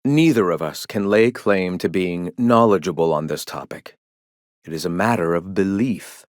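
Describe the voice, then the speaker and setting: An actor playing a pompous, serene cult leader in a video game, speaking in a lofty, theatrical, preacherly way with formal diction. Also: male voice, low voice, moderate pace, no room sound, studio quality, natural voice. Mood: grave, measured. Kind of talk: video game character dialogue acting.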